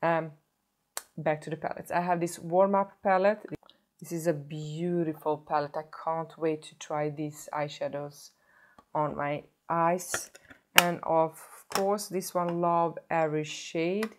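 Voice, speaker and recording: A woman's voice: low voice, steady tone, unhurried, slight background noise. Chatty woman with a sore throat. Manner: chatty, self-correcting, stops to show products. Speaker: beauty vlogger. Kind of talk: casual makeup tutorial. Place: indoor home filming set.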